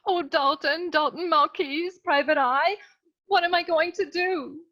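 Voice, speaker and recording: A woman's voice: very high-pitched, unhurried, sharp tone, heavily acted. A woman acting a melodramatic young damsel client in a comic noir radio serial, earnest and sweet, on a slightly lo-fi recording. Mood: desperate. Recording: slightly dull, lo-fi microphone.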